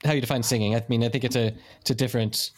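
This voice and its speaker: A man's voice: medium pitch, steady tone, rapid-fire. A man hosting a podcast in casual chat, often stammering and restarting as he gathers his thoughts. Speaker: podcast host.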